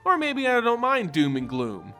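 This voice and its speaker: A man's voice: very high-pitched, wildly swooping pitch, moderate pace, pushed and bright, soft background music, pitched above the performer's own voice, exaggerated voice. A man voicing a teasing, sardonic female ghost hunter in a fantasy storybook reading. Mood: wry teasing. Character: wry, teasing adventurer woman, ghost hunter.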